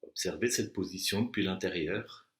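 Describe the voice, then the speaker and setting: A man with a fairly low voice leads a guided relaxation as a meditation teacher. He gives his instructions slowly and deliberately, leaving long pauses between phrases. The recording has a clearly echoey room sound. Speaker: yoga nidra and meditation teacher.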